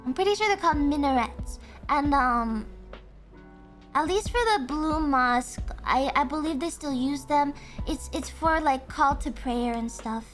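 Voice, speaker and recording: High-voiced chatty woman streamer. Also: unhurried, faint music behind. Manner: chatty, excitable, gasps and laughs often, thinks aloud. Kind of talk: casual livestream chat.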